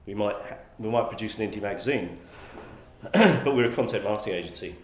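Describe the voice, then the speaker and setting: A man's voice: lowish voice, lively intonation, brisk, slight background noise. A man, answering interview questions thoughtfully and often restarting his sentences. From England. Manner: thoughtful, frequent restarts and repeated words. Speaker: guest, magazine publisher. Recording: roomy echoey sound.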